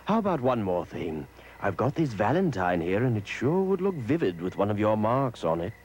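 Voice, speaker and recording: An actor playing a genial, scheming letter puppet hero with polite, theatrical phrasing in a children's educational show. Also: male voice, on the low side, big pitch swings, moderate pace, a little mellow, slight background noise. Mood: polite, flattering. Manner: polite, theatrical old-fashioned exclamations.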